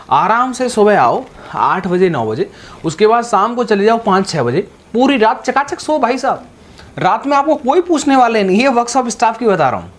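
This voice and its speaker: A man giving exam guidance in an informal, repetitive, colloquial way, addressing viewers directly. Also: sits high. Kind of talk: informal explainer with sponsor plug. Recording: faint background noise.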